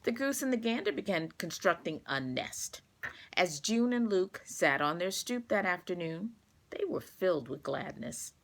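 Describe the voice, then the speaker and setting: A woman reading a children's storybook aloud, expressive and carefully paced for young listeners. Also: lowish voice, a little slow.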